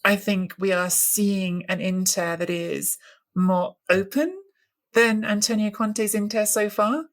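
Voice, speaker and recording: A woman co-hosting a football podcast, thinking aloud about tactics in a casual chat. Her voice sits at an ordinary middle pitch. She often restarts her sentences while she hunts for the right word. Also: fairly even intonation, slow. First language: English. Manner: thoughtful, many restarts while searching for words. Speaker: podcast co-host.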